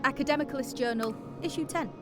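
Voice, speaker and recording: An actress voicing a woman who reads out catalogue titles in a mystery game, in a measured, deliberate way. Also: high-pitched, lightly acted. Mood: measured, formal. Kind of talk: scripted game voice-over. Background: game music.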